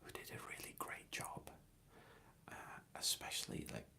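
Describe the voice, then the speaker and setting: A man whispering an ASMR question-and-answer ramble in a soft, hesitant and thoughtful way. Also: normal speed.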